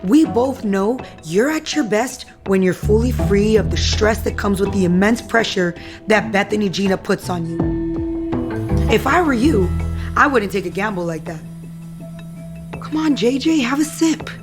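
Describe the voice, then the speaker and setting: A woman's voice: medium pitch, lively intonation, unhurried, music in the background, lightly acted. An actress playing a manipulative, needling woman in an audio soap opera, coaxing and insistent as she speaks. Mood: pressuring.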